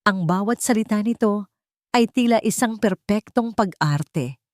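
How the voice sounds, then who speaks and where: A woman narrating a scripted dramatic story in measured, short phrases. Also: mid-range voice, a little mellow, dry recording, clean studio sound.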